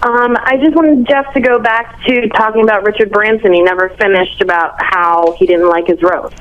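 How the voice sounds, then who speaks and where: A woman's voice: medium pitch, steady tone, normal speed, slight background noise. Lady phoning into radio show, casual, muffled lofi line. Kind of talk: casual call-in request. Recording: dull lo-fi phone call.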